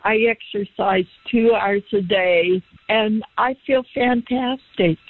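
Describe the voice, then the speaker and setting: A woman's voice: medium pitch, takes it slowly, dry recording. A woman in her seventies giving an upbeat, emphatic customer testimonial. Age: 70s. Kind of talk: phone-in testimonial. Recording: tinny phone line.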